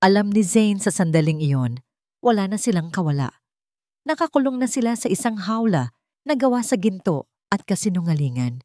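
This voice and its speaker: A woman with a Filipino accent narrates a scripted story in measured, dramatic short phrases. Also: on the low side, darkish tone, no room sound, clean studio sound.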